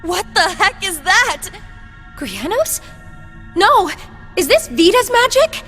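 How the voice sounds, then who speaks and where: Young fantasy game heroine, animated and forceful delivery, earnest, long reverb over music. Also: female voice, very high voice, takes it slowly, heavily acted. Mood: alarmed, desperate. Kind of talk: dramatic video game acting.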